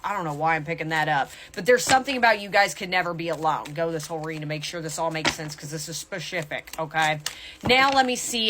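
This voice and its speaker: A woman's voice: on the low side, noisy background. A woman tarot reader talking casually and candidly to her viewers through an intuitive reading, with emphatic asides.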